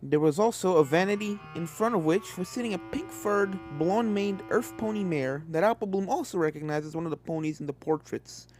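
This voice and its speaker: A woman's voice: fairly low pitch, fairly quick, hardly any pauses, a little mellow. A woman reading a fan fiction story as a scripted narrator. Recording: game music under the voice.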